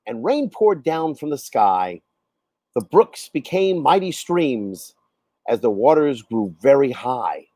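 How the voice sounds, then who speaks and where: Male poetry reader, high mellow voice, deliberate and expressive storybook delivery, very dry close sound. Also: no room sound. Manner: measured, dramatic, deliberate storytelling. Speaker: poet reading own poem.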